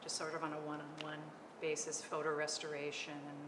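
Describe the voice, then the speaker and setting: A middle-aged woman from California, an artist on a panel, talking about her work with many hesitant pauses. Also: low-pitched, monotone. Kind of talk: unscripted panel talk. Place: art gallery. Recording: echoey gallery with long reverb and noise.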